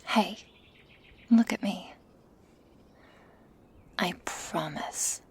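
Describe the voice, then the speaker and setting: A woman voicing a sadistic, mockingly tender female werewolf in a breathy, husky, deliberate whisper for an ASMR roleplay, close to the microphone. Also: lowish voice, exaggerated voice. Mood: soothing, tender. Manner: slow, taunting, deliberate delivery with sighs.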